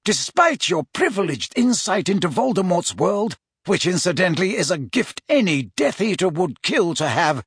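A man playing an emphatic old wizard mentor, swooping pitch. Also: breathy edge, no room sound, studio quality, full character voice. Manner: emphatic, formal, rhetorical. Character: old wise headmaster wizard, earnest, urgent mentor.

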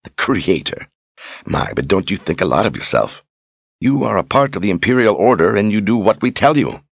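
A man's voice: fairly low pitch, expressive, normal speed, creaky, breathy edge, no echo, pitched above the performer's own voice, exaggerated voice. A man doing a scornful older sorceress. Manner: mocking, condescending. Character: haughty older female sorceress, sharp-tongued.

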